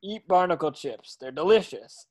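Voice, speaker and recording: A man's voice: on the high side, lively intonation, moderate pace, darkish tone. A man voicing a dim-witted, cheerful cartoon starfish with a goofy, simple-minded way of talking in a table read.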